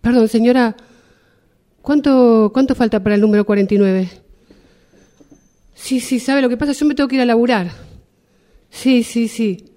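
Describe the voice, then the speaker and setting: A woman playing an impatient, hurried worker stuck in a queue in an improvised radio drama exercise, with an Argentine Spanish accent. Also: on the high side, mellow, lightly acted. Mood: impatient, pleading.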